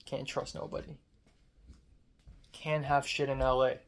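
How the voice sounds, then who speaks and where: A young man from Hong Kong with a sore, strained throat, talking into the camera late at night. He rants sarcastically in a mid-pitched voice, swearing often and mocking himself. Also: little pitch movement, measured pace, gravelly, a little hiss or hum. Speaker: vlogger. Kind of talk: late-night diary vlog.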